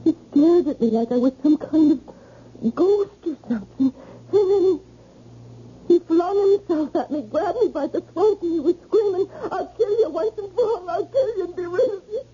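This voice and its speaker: An actress playing a terrified, tearful young housemaid in an old-time radio drama, speaking haltingly. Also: female voice, very high-pitched, dark tone. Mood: tearful, panicked. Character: terrified young housemaid who killed her attacker. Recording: muffled, hissy vintage radio broadcast.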